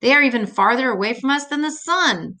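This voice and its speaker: A woman's voice: high-pitched, expressive, fairly quick, sharp tone. An older woman reading an educational children's book aloud, adding simple, patient explanations as she goes.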